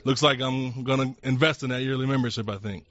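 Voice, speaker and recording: Polite man in his twenties, mid-pitched. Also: steady tone, fairly quick, fairly bright tone, highs cut off. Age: 20s. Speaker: interview guest, customer at a shooting range. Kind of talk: casual interview answers. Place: inside a sport shop.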